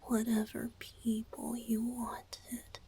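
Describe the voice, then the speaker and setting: A breathy young male bard played by a woman speaks very slowly in a soft whisper. He is tender and playful, a spirit in love in a romantic ASMR roleplay, and his words come haltingly and sincerely. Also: a little high, completely flat delivery, heavily acted. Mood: tender, confessional. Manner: slow, halting, heartfelt.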